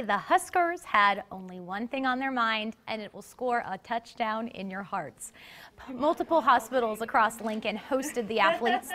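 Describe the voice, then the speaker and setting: An American woman working as a local TV news anchor, presenting to viewers. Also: a little high.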